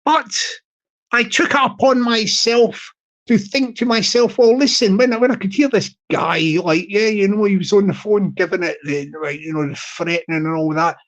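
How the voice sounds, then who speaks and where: A man with a high-pitched tenor voice, talking casually on his livestream and repeating his phrases as he rambles. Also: normal speed, fairly bright tone, dull audio. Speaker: YouTuber livestream host.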